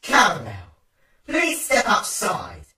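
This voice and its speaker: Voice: mid-range voice, huge pitch swings, takes it slowly, sharp tone, echoey room, distant mic, pitched above the performer's own voice, exaggerated voice. A performer voicing a volatile female pony princess, imperious and theatrical, with menacing politeness, in a storybook reading. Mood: explosive rage.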